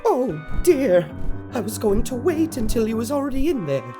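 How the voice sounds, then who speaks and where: Woman as a fretful witch, swooping in pitch. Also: big pitch swings, normal speed, over music. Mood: flustered. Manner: doting, fussing, apologetic. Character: fretful, apologetic witch doting on her pet dragon. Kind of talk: audio fantasy drama.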